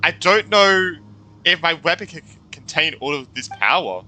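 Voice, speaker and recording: A man playing a grandiose, self-serious anime swordsman in mock-heroic game role-play, theatrical and drawn-out. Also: a little high, big pitch swings, pushed and bright, heavily acted. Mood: power-drunk. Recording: game music behind the voice.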